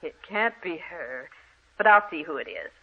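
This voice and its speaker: An actress playing an anxious, dutiful nurse, deferential and melodramatic, in an old-time radio horror drama. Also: female voice, fairly low pitch, lively intonation, normal speed, slightly rough. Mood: uneasy. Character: anxious, dutiful nurse tending a disturbed patient. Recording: tinny, band-limited old radio recording.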